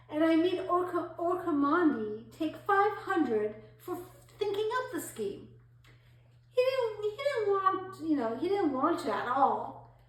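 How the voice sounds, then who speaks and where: A woman performing a theatrical monologue as a gullible, self-pitying society lady, affected, gushing and confiding. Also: high-pitched. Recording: very echoey room, distant mic.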